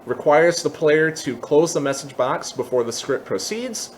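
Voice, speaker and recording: Casual man with a lively, swinging pitch. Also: normal speed, a little hiss or hum. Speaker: tutorial host. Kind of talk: casual software tutorial, explaining on-screen steps.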